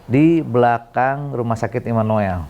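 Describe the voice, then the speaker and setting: A middle-aged man from Bandung, explaining council work in an interview, pausing often with fillers and self-corrections. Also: medium pitch, a little mellow, dull audio, a little hiss or hum. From Bandung, Indonesia. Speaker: city council member, interview guest. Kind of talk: relaxed explanatory interview talk.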